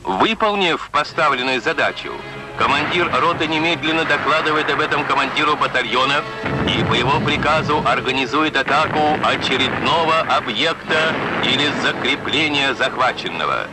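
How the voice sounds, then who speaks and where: A man's voice: fairly high pitch, normal speed, rough recording. A man narrates a 1950s Soviet military training film in a formal, declarative, instructional manner. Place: voice-over recording for a film. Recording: 1950s film soundtrack, loud music and battle noise. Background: loud music, gunfire and artillery.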